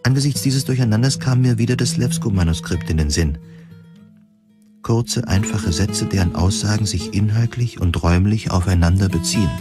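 Guy with a lowish voice narrating a dark sci-fi story, guitar music pretty loud behind him. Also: moderate pace. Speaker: audio drama narrator. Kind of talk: scripted first-person narration of a dystopian sci-fi story. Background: plucked guitar music.

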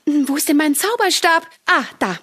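Children's radio play: dutiful little fairy, high-pitched, animated, prim and preachy with a touch of grievance. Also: female voice, normal speed. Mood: reluctant. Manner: prim, moralizing, indignant. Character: prim, dutiful, aggrieved female good fairy.

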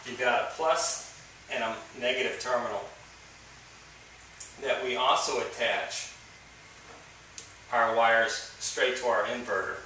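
Middle-aged male DIY instructor, plain step-by-step delivery, bright tone, recorded on a slightly distant camera mic. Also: medium pitch, slow pace, long pauses, slight background noise. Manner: plain step-by-step explaining, relaxed. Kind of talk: hands-on how-to demonstration. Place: outdoors by a house, then indoor workshop. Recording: slightly distant camera audio with faint ambience.

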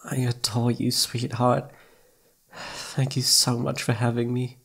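A man voicing a shy, adoring young boyfriend in an ASMR roleplay, with soft, breathy, pleading, hesitant speech. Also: medium pitch, completely flat delivery, slow pace, heavily acted. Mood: adoring, grateful.

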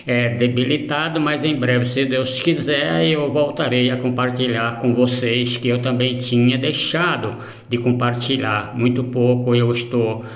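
A grieving man hosting his own channel, speaking in a halting, somber way with many pauses and fillers, recorded on a phone outdoors. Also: mid-range voice, steady tone, echoey room. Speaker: channel host. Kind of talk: grieving personal announcement.

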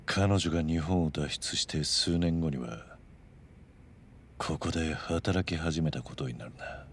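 An actor voicing a stoic, grave middle-aged tough man who speaks in a measured, terse, serious way in a video game cutscene. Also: a bass voice, a little hiss or hum.

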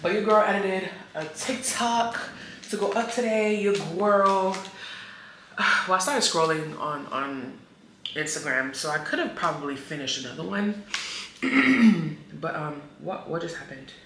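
A woman in her thirties talks straight to her viewers in a casual travel vlog, slangy and animated, breaking into loud laughs. Her voice sits fairly low, and it bounces around an echoey hotel room with a faint hum underneath. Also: unhurried. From Haitian heritage. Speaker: travel vlogger. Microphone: camera on tripod, some handheld selfie shots.

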